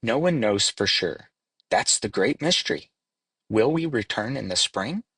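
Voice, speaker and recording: A man voicing a wise, calm, reassuring male leaf in a storybook, explaining things matter-of-factly. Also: lowish voice, measured pace, husky, no room sound, studio quality, heavily acted. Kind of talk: storybook read-aloud.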